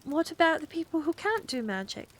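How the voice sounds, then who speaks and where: A woman's voice: sits high, big pitch swings, brisk, fairly bright tone, pitched above the performer's own voice. A woman playing a curious, persistent young girl. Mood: eager, curious. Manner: eager, wheedling questions.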